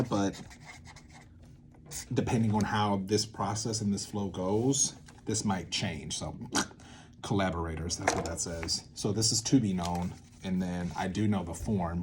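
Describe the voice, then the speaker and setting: Low-pitched man thinking aloud, noisy recording. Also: steady tone. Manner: informal, rambling, often breaking into drawn-out or emphatic words. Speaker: video series host, theatre maker. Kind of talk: casual unscripted creative-process talk, thinking aloud.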